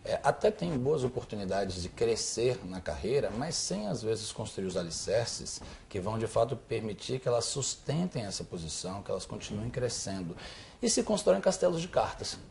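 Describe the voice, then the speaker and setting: A man's voice: lowish voice, big pitch swings, normal speed, gravelly, darkish tone, slight background noise. A man giving articulate, explanatory answers as an executive guest in an interview, repeating phrases for emphasis. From Salvador, Bahia, Brazil.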